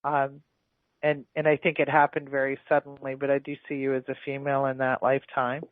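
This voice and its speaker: A man's voice: medium pitch, completely flat delivery, brisk, no echo. Hesitant man reading, tinny radio. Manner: hesitant, full of ums and restarts. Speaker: psychic reader. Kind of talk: on-air past life reading. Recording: phone-like lo-fi radio sound.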